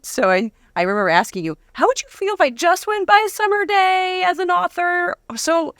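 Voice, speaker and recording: A woman's voice: extremely high pitch, lively intonation, moderate pace, fairly bright tone, dry recording. A woman author and speaker talking casually in a conversational interview, chatty and giggly, in a dry close-sounding recording.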